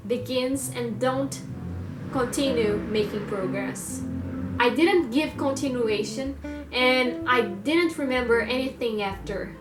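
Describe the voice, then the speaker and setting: A young woman with a Brazilian Portuguese accent talks through her thoughts in an earnest, reflective way, pausing now and then and phrasing things as a non-native speaker would. Her voice sits a little high. The room echoes around her, and plucked guitar music plays clearly behind her. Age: 20s. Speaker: vlogger. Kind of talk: personal monologue vlog. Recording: roomy echo with guitar music.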